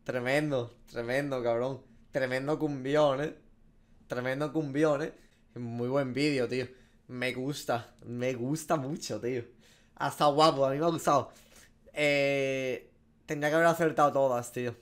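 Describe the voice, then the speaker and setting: A man's voice: mid-range voice, steady tone, unhurried, a bit bright. A man, giving casual, profanity-laced reaction commentary as a gaming streamer. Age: 20s. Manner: slangy, profane. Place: small room at home.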